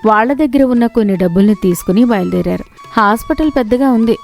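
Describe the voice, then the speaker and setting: Woman narrating a story, slightly creaky voice. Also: a little high, quick pace, a little mellow, soft background music. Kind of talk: scripted moral-story narration.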